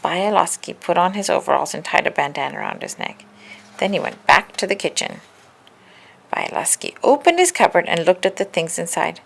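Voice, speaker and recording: A woman reading a children's story aloud in an expressive, deliberate narrating style. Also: fairly low pitch, creaky, low-quality recording. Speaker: storybook reader.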